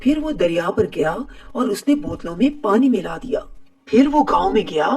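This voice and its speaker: A woman storyteller with a husky, breathy voice at a medium pitch reads a scripted moral tale for children. She sounds slightly away from the microphone. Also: expressive, normal speed, darkish tone, recorded from a distance. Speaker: narrator.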